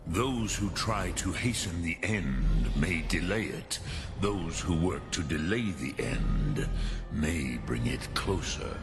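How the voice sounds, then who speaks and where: An actor voicing an ancient, wise male dragon in a fantasy video game, gravelly and ponderous, patient and philosophical, mixing in archaic words. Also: rumbling low, full character voice. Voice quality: gravelly, weighty. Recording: game audio under loud music.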